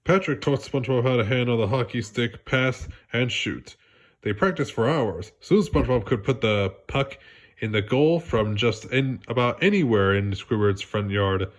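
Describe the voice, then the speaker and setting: A man's voice: mid-range voice, moderate pace. A man narrating a storybook read-aloud with a congested, stuffy voice. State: congested, coughing.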